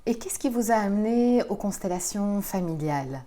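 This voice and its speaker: A woman's voice: mid-range voice, lively intonation, normal speed, warm tone. A woman hosting an interview, asking polite questions and encouraging her guest with frequent affirming murmurs. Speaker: interviewer, host.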